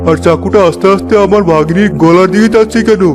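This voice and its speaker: A man playing a high-voiced protective uncle. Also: fast, lightly acted. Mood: worried. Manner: worried and protective. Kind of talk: cartoon voice acting. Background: background music.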